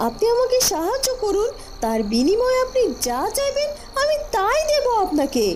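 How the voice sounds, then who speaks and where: A woman's voice: very high voice, big pitch swings, normal speed. A woman voicing a scheming, impatient young noblewoman in a cartoon, with a fretful, dramatic delivery. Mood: pleading. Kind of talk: Bengali cartoon voice acting.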